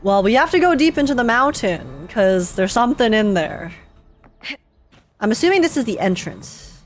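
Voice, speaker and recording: A young woman with a high voice streams her reactions to a game. She is casual, excitable and giggly, thinking aloud over faint game music. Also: normal speed.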